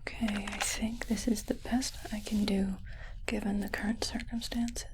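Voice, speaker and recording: A woman playing a calm alien translator technician in a whispered ASMR roleplay, speaking softly and carefully, like a reassuring clinician. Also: mid-range voice, moderate pace, background noise, lightly acted. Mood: attentive, clinical.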